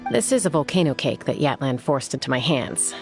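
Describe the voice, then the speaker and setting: A woman playing a composed young warrior and protective peacemaker in a video game, with a calm, measured, gently firm manner. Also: rumbling low, music in the background, full character voice. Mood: relaxed, reflective.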